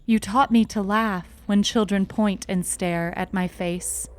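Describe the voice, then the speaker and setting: A woman narrates an intimate first-person story at a slow, deliberate pace. Her mid-pitched voice is tender and measured, with a dramatic storyteller's delivery. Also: fairly even intonation, smooth.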